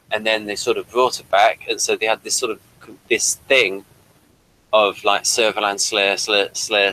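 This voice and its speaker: A man with a fairly low but very bright, crisp-edged voice hosts a casual review chat. He rambles a little and slips in self-conscious asides as he goes. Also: poor audio. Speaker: podcast host reading an episode summary and discussing it.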